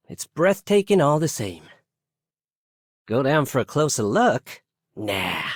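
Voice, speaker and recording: An actor playing an easygoing young man in a video game, casual and quietly amused. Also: fairly high pitch, no room sound, clean studio sound. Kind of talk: video game character voice line.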